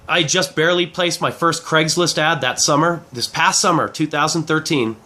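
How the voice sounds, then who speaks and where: A man in his forties speaks in a fairly high, bright and forceful voice while fighting a head cold. He delivers a casual, rambling online pitch, often doubling back to rephrase what he just said. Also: normal speed. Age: 40s. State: head cold, clearing throat. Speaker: affiliate marketing reviewer.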